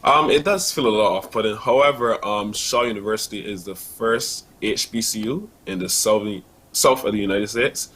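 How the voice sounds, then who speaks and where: Guest: student in his early 20s, Barbadian accent, low-pitched, earnest, halting delivery. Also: fairly bright tone, low-quality recording. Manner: earnest, many fillers and restarts. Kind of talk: casual interview answers.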